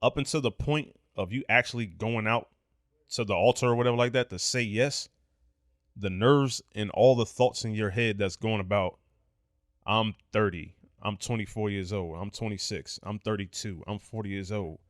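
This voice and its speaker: Low-voiced man, candid and emphatic. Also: moderate pace, no echo, clean studio sound. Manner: candid, emphatic, swears freely. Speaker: podcast co-host. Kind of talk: casual chat.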